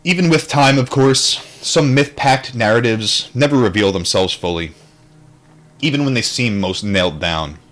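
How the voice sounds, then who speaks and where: A man's voice: mid-range voice, expressive, moderate pace, fairly bright tone. A man reading aloud from a book in an informal way, sometimes adding casual commentary and stumbling over difficult terms. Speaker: book reader, streamer.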